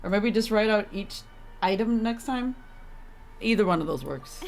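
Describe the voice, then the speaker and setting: A woman's voice: medium pitch, lively intonation, moderate pace, vocal fry, slight background noise. A young woman livestreaming a game in casual chat, with a playful, sarcastic manner. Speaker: game streamer.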